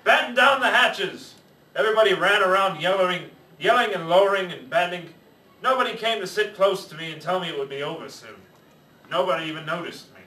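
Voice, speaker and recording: Bright-voiced man reading a story aloud. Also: high voice, fast. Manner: lively read-aloud, shouting pirate lines in a gruff put-on voice. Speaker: children's storyteller in pirate costume. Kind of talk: reading a picture book aloud to children. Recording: roomy, distant, faint background noise.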